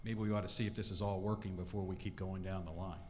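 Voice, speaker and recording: A man, a legislator, putting a halting question from the audience. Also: low-pitched, level tone, darkish tone, a little hiss or hum. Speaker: state legislator asking from the audience. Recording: echoey hall.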